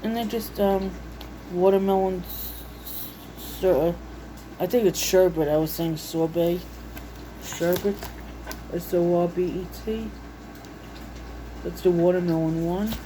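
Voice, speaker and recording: A woman with a lowish, soft-edged, mellow voice talks casually as she browses and reads items aloud. She muses to herself in a homey, folksy way. She is recorded indoors with a faint steady room hum behind her. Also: unhurried, long pauses, rough recording. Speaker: vlogger showing a recipe book. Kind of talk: casual unscripted chat while browsing and reading out recipes. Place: indoors at home.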